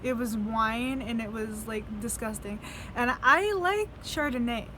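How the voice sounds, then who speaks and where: Young woman vlogger, fairly high voice, slow and rambling, profane, laughs often; faint vehicle noise behind. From United States. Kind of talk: casual video diary storytelling.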